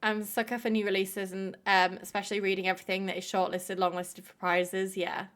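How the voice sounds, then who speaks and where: A woman's voice: mid-range voice, level tone, a little fast, a bit bright. A woman hosting a live stream, chatting casually with viewers, filling her talk with "like" and swearing freely. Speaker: livestream host.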